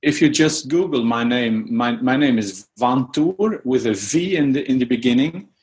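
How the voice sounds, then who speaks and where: A man whose first language is Dutch, speaking as a guest in an interview, pausing often with fillers and restarts. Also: mid-range voice. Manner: hesitant, many fillers and restarts. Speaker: guest musicologist. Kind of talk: conversational interview.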